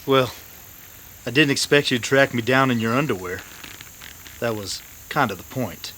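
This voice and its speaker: A man playing a captured card-sharp outlaw, dry and resigned, in an old-time western radio drama. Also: mid-range voice, moderate pace, slight background noise, pitched above the performer's own voice, close to an everyday voice.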